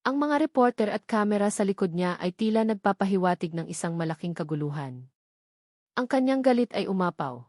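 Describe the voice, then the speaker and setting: A woman's voice: medium pitch, expressive, fairly quick, a little mellow, no echo, studio quality. A woman reading scripted narration of a dramatic love story.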